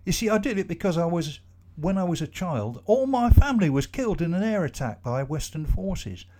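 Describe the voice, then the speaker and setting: A man voicing a fidgety, remorseful young man in his thirties, pleading in a high, loud voice in a storybook reading. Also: sing-song, fairly quick. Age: 30s.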